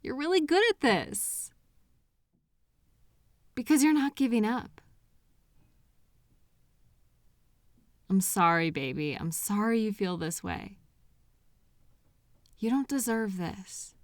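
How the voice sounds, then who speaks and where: A woman's voice: medium pitch, lively intonation, dry recording. A woman acts as a devoted, patient girlfriend in an intimate audio roleplay. She takes her time over each phrase, tender and reassuring as she comforts an anxious partner. The sound is very dry and close, with no room around the voice. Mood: tender, sympathetic. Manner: tender, reassuring, unhurried pauses with sighs.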